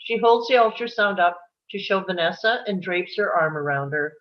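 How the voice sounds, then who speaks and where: Lowish-voiced woman reading stage directions. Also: animated, moderate pace, dull audio. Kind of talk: scripted narration.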